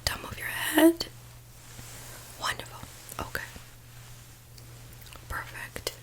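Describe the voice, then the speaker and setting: A woman doing a soft-spoken ASMR doctor roleplay in a breathy whisper, gently giving reassuring instructions close to the mic. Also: takes it slowly, poor audio. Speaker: ASMR performer playing a doctor.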